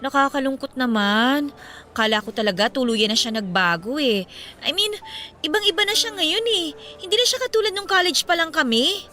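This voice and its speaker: An actress playing a chatty, concerned young best friend in a radio drama, speaking expressively and with sympathy. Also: female voice, sits high, animated, normal speed, pushed and bright, faint music behind. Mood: sympathetic. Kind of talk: Filipino radio drama dialogue.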